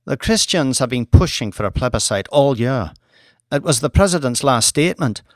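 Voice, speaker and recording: A man's voice: medium pitch, lively intonation, normal speed, no room sound, close to an everyday voice. Male narrator as female British diplomat, formal, firm, wary, professional, audiobook dialogue, dry close recording. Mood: grave, matter-of-fact.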